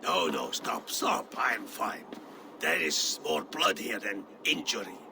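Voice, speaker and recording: An actor playing an old, frail Norse chieftain, proud and fatherly, with a gravelly voice. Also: deep voice, big pitch swings, slow pace, heavily acted. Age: older. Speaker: aging jarl of a Norse clan. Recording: game audio with ambient noise.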